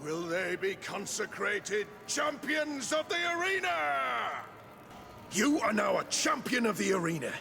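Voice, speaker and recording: An actor playing a showy, formal arena master in a theatrical fantasy game style. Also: male voice, sits high, very animated, a little slow, gravelly, pushed and bright, exaggerated voice. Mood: grand announcing, then impressed. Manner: flamboyant, formal, showman-like. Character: showy male arena master announcing fights to the crowd. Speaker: arena announcer. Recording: long echoing reverb.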